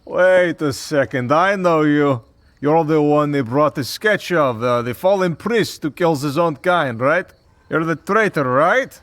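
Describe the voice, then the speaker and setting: A man's voice: fairly high pitch, lively intonation, measured pace, fairly bright tone. A man voicing a cocky, predatory vampire villain in an audio roleplay, with a mocking, drawling, theatrical delivery. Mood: smug, predatory. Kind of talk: scripted audio roleplay, ASMR drama.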